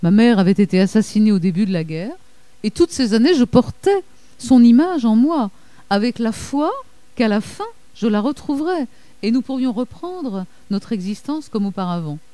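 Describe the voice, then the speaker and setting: A woman giving an academic lecture, reading quotations aloud and pausing often with fillers and self-corrections. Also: fairly high pitch, lively intonation, warm tone, dry recording.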